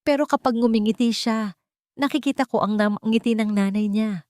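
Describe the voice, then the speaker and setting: A woman storyteller voicing a tender, loving mother at peace, speaking gently in a story. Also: on the high side, normal speed, no echo, studio quality, voice pushed up, close to an everyday voice. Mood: tender, reflective. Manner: tender, hushed.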